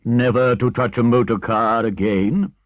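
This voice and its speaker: A stern, righteous older badger as a male narrator plays him, orating slowly and emphatically with commanding weight. The storybook voice is raised above the reader's own, dry and slightly dull in the recording. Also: medium pitch, fairly even intonation, no echo, pitched above the performer's own voice, close to an everyday voice. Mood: scandalized. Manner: solemn, slow, emphatic oratory.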